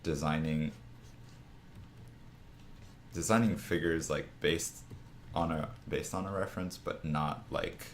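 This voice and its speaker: A man with a raspy, groggy morning voice, casually rambling through a drawing lesson on a live stream, with many restarts. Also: rumbling low, steady tone, takes it slowly, long pauses, slight background noise. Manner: rambling, full of restarts and fillers. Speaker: art streamer teaching figure design.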